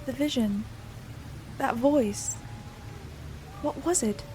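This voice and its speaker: A woman plays a young high elf bard with a mellow, warm voice. She speaks slowly and with poise. Faint noise sits in the background. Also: low-quality recording. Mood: poised.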